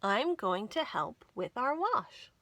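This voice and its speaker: A woman hosting a children's storytime, reading picture books aloud in an expressive, sing-song way. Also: on the high side, slow, no room sound. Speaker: children's library storytime host. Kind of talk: animated picture-book read-aloud for young children.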